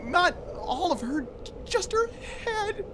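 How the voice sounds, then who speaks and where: A man's voice: very high voice, very animated, a little slow, heavy background noise, exaggerated voice. An actor playing a nervous, secretive boy in an audio drama, stammering and evasive. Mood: panicked, distraught.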